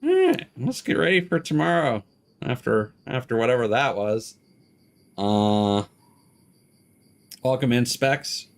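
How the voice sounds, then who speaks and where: A man's voice: medium pitch, very animated, takes it slowly, pauses often. A man live streaming a game, chatting casually with rambling, profane, opinionated commentary. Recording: music behind the voice.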